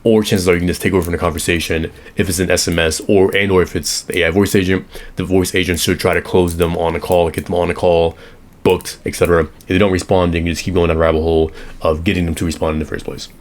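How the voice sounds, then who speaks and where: A young man with a hoarse voice, just over an illness, giving a rambling casual tutorial on automations and correcting himself as he sketches on an iPad. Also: low voice, little pitch movement, fast. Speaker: automation consultant. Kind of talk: casual screen-share tutorial.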